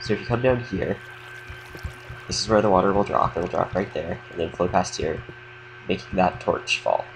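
A young man with a slight cold, casually explaining a game build in a relaxed, rambling way with lots of fillers. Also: sits low, moderate pace, gravelly, faint music behind, poor audio. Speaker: game tutorial creator. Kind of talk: casual gameplay tutorial commentary.

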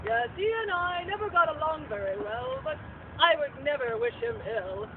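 A very high-voiced, kindly holy man in a puppet show, played by a live actor. He is earnest and theatrical, prone to pleading exclamations. The sound is tinny, with clear noise outdoors. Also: big pitch swings, normal speed. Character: kindly, earnest good brother, a Viking-era clergyman puppet. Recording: tinny, noisy outdoor stage recording.

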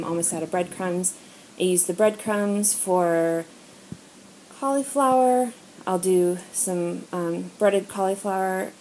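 Low-pitched American woman, noisy home recording. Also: a little mellow. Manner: informal, chatty. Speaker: home vlogger. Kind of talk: casual haul voice-over while filming. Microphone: handheld camera or phone, filming items. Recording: noisy handheld amateur recording, clicks.

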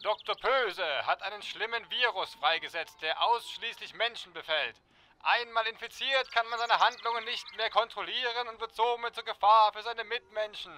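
A man's voice: sits high, normal speed, bright, forceful tone. A man playing a solemn, urgent president giving dramatic orders in a comic audio drama. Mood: grave.

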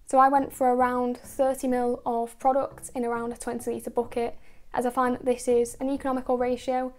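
A woman narrating a car detailing video in a steady, explanatory voice-over, going through each step and product methodically. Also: on the high side, a little fast. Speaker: car detailing channel host.

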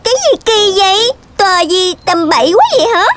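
An actor voicing a quarrelsome, indignant little cartoon mouse in a squeaky childlike voice, with music behind. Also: lively intonation, fairly quick, very noisy.

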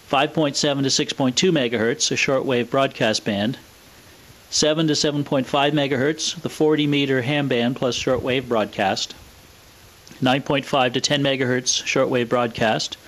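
Methodical man narrating, medium-pitched voice. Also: steady tone, normal speed, fairly bright tone, low-quality recording. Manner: methodical, matter-of-fact, spells out specifications. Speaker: presenter of a vintage radio equipment video. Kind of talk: scripted narration, explanatory product walkthrough.

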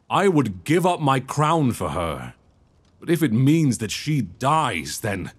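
A man acts out an anguished young prince in a romantic audio drama. His voice is husky and lowish, moving slowly and haltingly with wide swings of feeling, earnest and tender as a worried lover. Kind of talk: romantic audio roleplay, ASMR-like acting.

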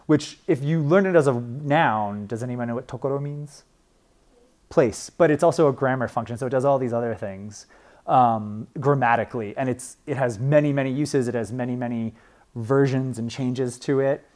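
A man's voice: medium pitch, moderate pace, a little mellow. A young man, a librarian, teaching a class in a casual, chatty way with frequent fillers and restarts. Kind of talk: informal classroom talk.

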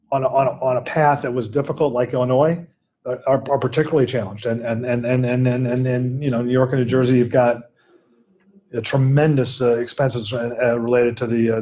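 A man's voice: mid-range voice, dark tone. An older man, an investment firm executive, answering questions in a keynote conversation over a video call from his office. He talks thoughtfully and often repeats words while he searches for the next phrase. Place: office at home.

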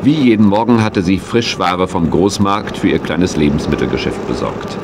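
A man narrating a scripted children's radio play as an expressive storyteller. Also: deep voice, moderate pace, very noisy.

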